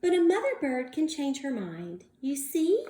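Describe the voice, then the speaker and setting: A woman voicing a contented, self-assured mother bird in a storybook, gentle and measured as she explains. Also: high voice, huge pitch swings, full character voice. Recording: roomy, echoing indoor sound.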